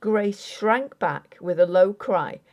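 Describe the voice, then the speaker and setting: Woman reading an old ghost story, soft mellow tone, lots of melody, measured and dramatic. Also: medium pitch, lively intonation, lo-fi sound. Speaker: audiobook narrator. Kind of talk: expressive scripted story narration.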